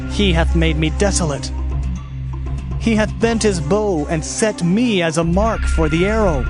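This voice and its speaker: A man narrating scripture in a solemn, measured, dramatized reading. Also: on the high side. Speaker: Bible narrator. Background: background music.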